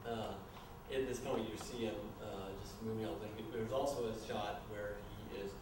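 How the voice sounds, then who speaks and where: Male live narrator, low-pitched, halting and explanatory, recorded far off in a very echoey, noisy room. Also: fairly even intonation. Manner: halting, explanatory, apologetic asides. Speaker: narrator reading stage directions at a staged screenplay reading. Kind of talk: informal live narration of scene action. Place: live staged reading in a reverberant room.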